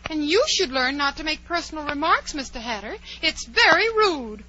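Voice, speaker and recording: A woman's voice: high-pitched, expressive, normal speed, bright, forceful tone. An actress playing a curious, prim, outspoken little girl in a 1940s radio drama. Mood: prim, indignant. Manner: proper, precise, indignant. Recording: dull, noisy old radio recording, hum.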